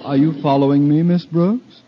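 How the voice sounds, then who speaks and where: An actor playing a pompous, blustering middle-aged high school principal with theatrical, orotund speech in a 1950s radio comedy. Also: male voice, steady tone, moderate pace, lightly acted. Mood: wheedling. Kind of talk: 1950s radio sitcom acting. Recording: dull, hissy old 1950 radio broadcast.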